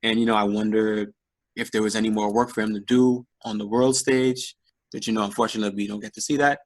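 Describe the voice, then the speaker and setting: A man's voice: lowish voice, fairly even intonation, fast talker. A man co-hosting a casual podcast, talking thoughtfully with frequent "you know" fillers. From Bahamas. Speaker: podcast co-host. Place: New York area, remote call.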